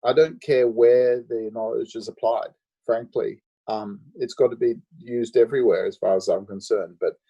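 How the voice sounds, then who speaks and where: A man from Australia answering interview questions about his life and work, thoughtful and full of pauses and ums. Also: medium pitch, steady tone, gravelly, dark tone, slightly rough, lo-fi sound. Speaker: guest architect.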